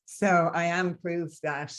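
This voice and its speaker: A woman's voice: on the low side, steady tone, slow pace, a bit bright. A woman in her forties with an Irish accent from Dublin, an earnest, upbeat coach talking as a podcast guest. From Ireland. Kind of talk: conversational interview.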